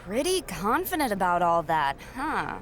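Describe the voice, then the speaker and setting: A sassy young wrestler. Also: female voice, mid-range voice, very animated, measured pace, fairly bright tone. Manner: cocky, blunt. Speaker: wrestler character. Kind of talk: video game cutscene acting.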